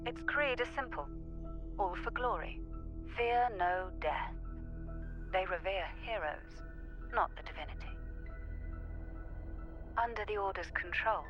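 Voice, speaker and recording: An actress playing a composed, courteous noblewoman in a video game, speaking in measured, formal and elegant phrases. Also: medium pitch, natural voice. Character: composed noblewoman host, courteous, knowing and unhurried. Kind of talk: scripted video game story dialogue.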